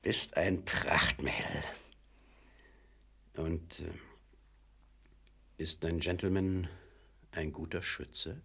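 Husky, menacing crook. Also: male voice, deep voice, fairly even intonation, drawn-out words, pauses often, full character voice. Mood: sly, boastful. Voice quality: husky, raspy. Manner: coaxing, threatening, swinging from murmurs to sharp bursts. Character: menacing male crook pressing a woman to betray her lover. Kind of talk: radio drama acting.

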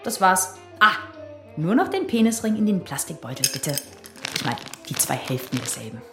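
Blunt, lively female police detective. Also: on the low side, normal speed, roomy sound, faint music behind. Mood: dry, needling. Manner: blunt, needling, dryly ironic. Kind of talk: naturalistic German radio crime drama acting.

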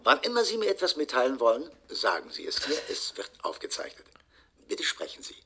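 An actor playing an aloof, formal man on a recorded computer greeting in a radio drama, with a husky voice. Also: medium pitch, huge pitch swings, a little fast, pushed and bright, full character voice.

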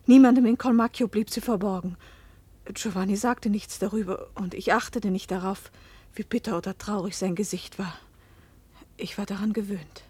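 A woman acting as a passionate young wife in a radio drama. Her husky, breathy voice is intimate and confessional, heavy with remorse. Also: mid-range voice, fairly even intonation, moderate pace, warm tone, close to an everyday voice. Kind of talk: German radio play acting and first-person narration.

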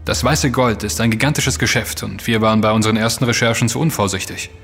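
An actor playing a self-assured, intense investigator in a German audio drama, speaking emphatically and accusingly, with dramatic delivery. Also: male voice, on the low side, fairly even intonation, quick pace, creaky, fairly bright tone, slightly rough, heavily acted. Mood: confident. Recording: long reverb with music underneath.

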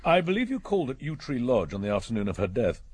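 An actor playing a shrewd, methodical English police inspector in a radio drama, measured and probing. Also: male voice, medium pitch, very animated, a little mellow, husky. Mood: probing, dry. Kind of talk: British radio drama acting.

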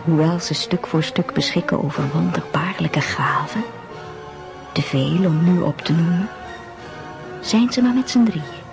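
A woman playing a solemn folktale narrator in a radio drama, measured and dramatic, sometimes hushed. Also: lowish voice, gravelly, over music.

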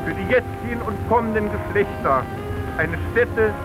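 A pompous male dignitary of the 1920s acted by a man gives a ceremonial address in a radio play. His high voice declaims solemnly while very loud music plays behind. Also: moderate pace, lightly acted, poor audio. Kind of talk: formal public oratory in radio drama.

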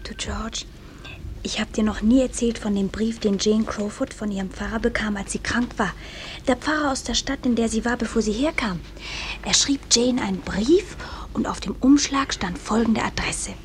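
An actress playing an eager, wonder-struck little sister in a radio play, speaking earnestly. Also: female voice, fairly high pitch, normal speed, slight background noise, natural voice. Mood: eager, confiding. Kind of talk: German radio play acting.

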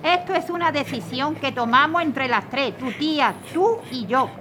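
A woman's voice: sits high, animated, moderate pace, pushed and bright, a little hiss or hum. An actress playing a weary, firm mother who scolds her daughter in a radio drama. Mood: scolding. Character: weary, stern mother fleeing on foot.

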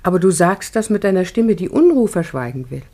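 An actress playing a tender young biblical bride and devoted daughter, speaking lyrically in a classic radio drama. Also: female voice, on the low side, sing-song, brisk, mellow. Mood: concerned, pleading. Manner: lyrical, declamatory.

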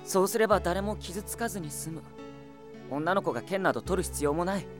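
A man playing a gentle, reluctant sixteen-year-old prince in an anime audio drama, soft and restrained. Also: high-pitched, fairly quick, over music, natural voice.

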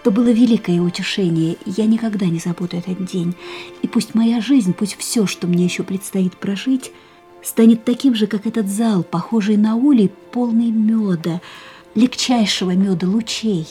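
A woman's voice: medium pitch, normal speed, dark tone, faint music behind, lightly acted. An actress playing a frank, intense young woman hungry for life in a radio play, with a dramatic, searching manner. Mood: rapt, solemn.

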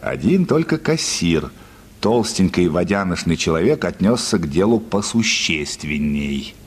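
A man with a husky voice narrating a radio play as an expressive, scripted storyteller. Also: low voice, a little slow. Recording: reverberant studio hall sound.

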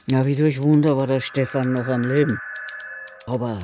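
A woman's voice: extremely low pitch, steady tone, normal speed, warm tone, slight background noise. An actress in a German radio drama playing a bitter, grieving but defiant woman who survived a prison camp. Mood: bitter recounting.

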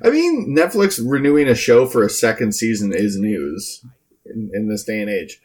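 A man's voice: fairly low pitch, sing-song, normal speed, a little mellow. A man co-hosting a podcast in casual chat, with a wry, quippy way of talking. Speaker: comics news podcast co-host.

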